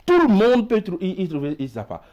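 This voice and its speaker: A middle-aged man with an African French accent, a guest on a talk show, speaking haltingly and repeating words as he searches for them. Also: sits high, wildly swooping pitch, a little mellow, lo-fi sound.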